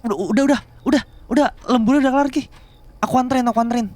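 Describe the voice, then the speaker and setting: A man playing a devoted, bashful younger boyfriend in love with his boss, speaking softly and haltingly in an intimate ASMR romance roleplay. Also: very high-pitched. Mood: worried, fussing. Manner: soft, halting, tender, with murmured hums.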